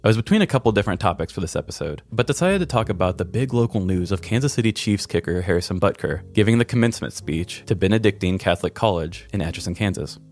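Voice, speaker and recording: A man's voice: low-pitched, animated, fast talker, few pauses, darkish tone. A man hosting a podcast, speaking candidly and earnestly about personal matters in a self-deprecating way. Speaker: podcast host. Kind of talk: candid personal talk, then reading a personal essay.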